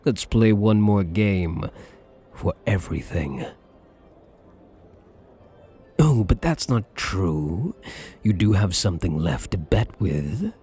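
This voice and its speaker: Slow, breathy, suave gambler acted by a man. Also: low voice, animated, mellow, soft background music, full character voice. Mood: seductive, possessive. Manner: slow, teasing, drawling with pet names. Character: suave possessive male gambler, flirtatious and menacing. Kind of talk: intimate ASMR roleplay acting.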